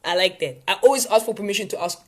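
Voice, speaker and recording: A man's voice: high voice, fast, fairly bright tone. A man with a South African accent hosting a casual live session for viewers, talking in a rambling, playful way. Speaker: host of a live tips session.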